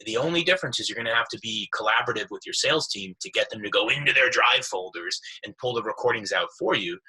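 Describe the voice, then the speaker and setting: A man's voice: medium pitch, sharp tone, husky, low-quality recording. A man being interviewed as a sales executive, explaining conversationally with examples in an emphatic, informal way. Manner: emphatic, informal, acts out quoted remarks.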